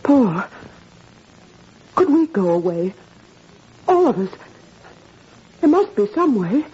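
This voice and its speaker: An anxious, devoted wife in a 1940s radio play, very husky and mellow, earnest in her appeals. The sound is muffled like an old broadcast. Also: fairly high pitch, big pitch swings, slow pace, full character voice. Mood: pleading, earnest. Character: anxious, supportive wife and mother, yearning to escape. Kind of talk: 1940s radio drama acting.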